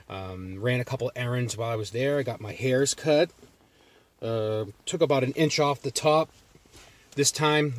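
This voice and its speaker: Casual man with a Boston accent, mid-pitched voice, talking from a parked car in a loose, rambling vlog style. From Massachusetts. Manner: rambling, loose, with many fillers and restarts. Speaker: jerky reviewer.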